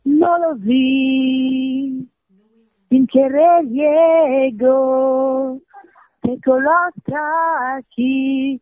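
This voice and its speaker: A woman from Senegal, a native Soninke speaker, singing a love song in slow, drawn-out phrases. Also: high-pitched, fairly even intonation, no echo, narrow, tinny audio. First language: Soninke (Sarakole).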